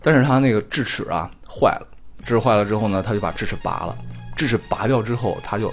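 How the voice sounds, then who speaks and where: A man's voice: lowish voice, quick pace, faint music behind. A man in his thirties, a podcast co-host, chatting casually and rambling with lots of fillers and restarts. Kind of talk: casual chat.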